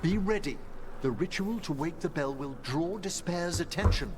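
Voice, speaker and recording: An actor playing a formal, courteous older professor mage in a fantasy video game, speaking in measured, precise phrases. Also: male voice, on the high side, background noise, close to an everyday voice. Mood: focused, urgent. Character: scholarly, courteous older male professor mage, calm under fire. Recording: game audio under loud music.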